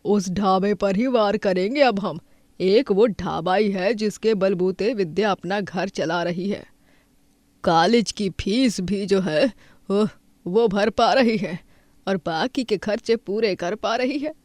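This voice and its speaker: A woman's voice: mid-range voice, takes it slowly, darkish tone, no room sound, lightly acted. A woman voicing a resentful, scheming middle-aged village mother in a cartoon story, speaking with vindictive emphasis. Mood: bitter, scheming.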